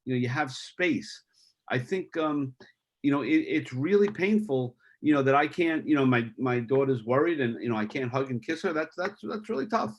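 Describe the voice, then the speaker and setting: A middle-aged man speaking as a guest on a video call, halting and heartfelt with many restarts. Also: medium pitch, animated.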